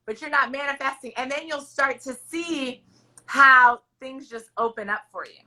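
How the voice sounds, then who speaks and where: A woman hosting a podcast, talking loudly and emphatically in an upbeat, encouraging way, with a raspy voice. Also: high voice, moderate pace. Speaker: podcast host. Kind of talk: encouraging casual interview chat.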